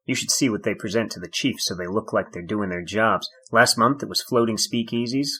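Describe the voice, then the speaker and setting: Man acting an exasperated, husky police detective. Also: lowish voice, fairly quick, sharp tone. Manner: sardonic, skeptical. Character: dry, exasperated male police detective interrogating a criminal. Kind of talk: comedic noir audio drama acting. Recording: music bed under the voice.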